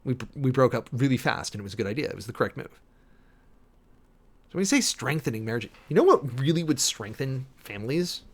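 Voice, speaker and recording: Man, mid-range pitch, livestream host, casual commentary, opinionated, informal, emphatic repetition. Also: lively intonation, a little fast. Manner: informal, opinionated, emphatic, repeating phrases for stress. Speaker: live streamer hosting a political commentary show.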